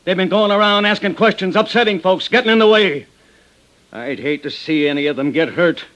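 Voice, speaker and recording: Casting: crooked American town sheriff, high-pitched, indignant and menacing, vintage radio drama sound. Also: male voice, fairly quick, fairly bright tone, low-quality recording. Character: bullying, corrupt small-town male sheriff. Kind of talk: 1950s radio drama acting.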